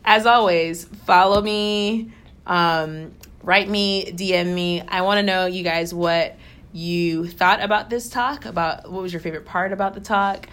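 A woman's voice: lowish voice, a little slow, fairly bright tone. A woman hosting a podcast, chatting casually with a friend and laughing often. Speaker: podcast host. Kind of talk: casual chat. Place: Dallas area.

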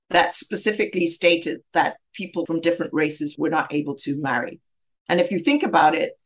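A middle-aged woman from southern Africa telling her personal story as an interview guest, in a measured, reflective and articulate manner. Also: fairly low pitch. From southern Africa, grew up in Zambia. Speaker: interview guest, human rights lawyer.

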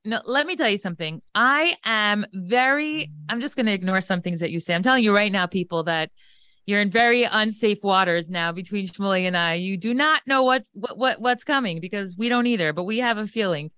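A woman's voice: mid-range voice, expressive, fairly quick, sharp tone, smooth, no room sound. A woman in her forties chatting casually as a podcast guest, playful and teasing. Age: 40s. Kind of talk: casual bantering chat.

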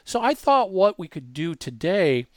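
A man co-hosting a podcast, explaining software features in a casual, conversational way with emphatic repetition. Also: high-pitched, very animated, unhurried, no room sound, clean studio sound. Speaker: podcast co-host giving a software demonstration. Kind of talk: casual explanatory talk, walking through features.

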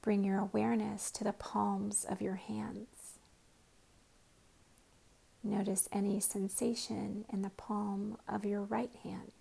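Woman meditation guide, soft and often whispered, gravelly, flat, unhurried. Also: mid-range voice.

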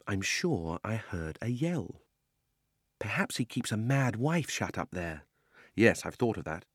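Man voicing an indignant British schoolboy, lively pitch. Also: dry recording, studio quality. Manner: indignant, emphatic, old-fashioned British schoolboy talk. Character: upset, defiant young schoolboy from the countryside.

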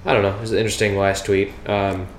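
A man with a fairly low voice, co-hosting a sports podcast in an easygoing, joking way. The room sounds echoey and a little hollow. A faint hiss sits under his voice. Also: fast talker. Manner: relaxed, jokey, chatty. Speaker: podcast co-host. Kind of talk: casual sports podcast chat. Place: house, indoors.

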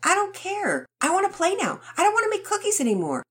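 A woman's voice: extremely high pitch, huge pitch swings, quick pace, voice pushed up, heavily acted. A woman doing a whiny little boy. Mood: demanding.

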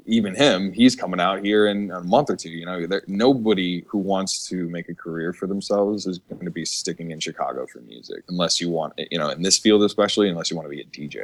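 A man's voice: sits low, fast, few pauses. A man from the United States, a musician guest in a casual interview, speaking earnestly and emphatically with some swearing.

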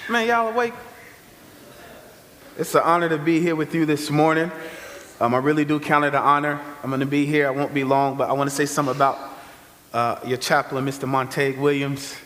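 A man giving a lively, conversational testimony sermon as a guest speaker, full of slang. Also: on the high side, brisk, a little hiss or hum. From Chicago. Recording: reverberant hall.

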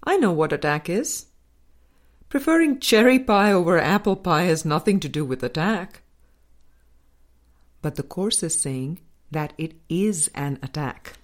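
Slow, low-pitched woman reading aloud. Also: lively intonation. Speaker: audiobook reader. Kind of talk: scripted reading of a spiritual book.

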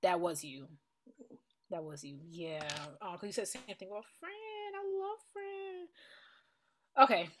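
A woman co-hosting a podcast, chatting casually about music in an animated, playful, colloquial way. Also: lowish voice, measured pace, slight background noise. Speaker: podcast co-host. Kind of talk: casual chat and music commentary.

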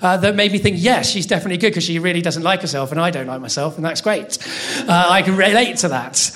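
An English man hosting a live comedy night, talking in halting, stammering restarts with self-deprecating humour. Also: high voice, fairly bright tone. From England. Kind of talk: live stand-up compering. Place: comedy venue stage.

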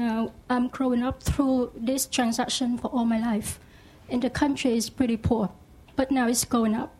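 Vietnamese-accented lady from the audience, slightly high warm tone, lots of ums. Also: low-quality recording. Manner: hesitant, many ums, simple non-native English. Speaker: audience member asking a question.

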